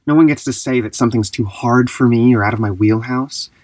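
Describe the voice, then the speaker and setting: An actor playing an intense, proud, self-assured dancer with a husky voice in a fiction podcast. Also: medium pitch, brisk, a little mellow, dry recording, close to an everyday voice. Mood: proud, curt. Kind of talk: naturalistic fiction podcast acting.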